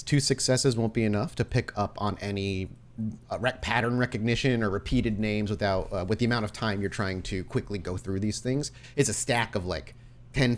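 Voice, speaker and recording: A man narrating and refereeing a tabletop roleplaying game as game master, asking measured questions of his players. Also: lowish voice.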